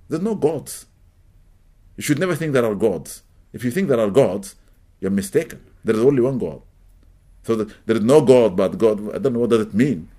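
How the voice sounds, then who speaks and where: A middle-aged man whose first language is Arabic, teaching a live audience, animated and emphatic, rising to loud peaks. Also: medium pitch. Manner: animated, rising to emphatic peaks, welcomes latecomers mid-talk. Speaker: religious teacher.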